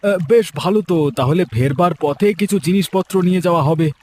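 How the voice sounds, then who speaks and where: A man voicing an easily impressed city gentleman visiting a village in a cartoon. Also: high voice, brisk, a little hiss or hum, lightly acted. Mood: pleased, marvelling. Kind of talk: cartoon character dialogue.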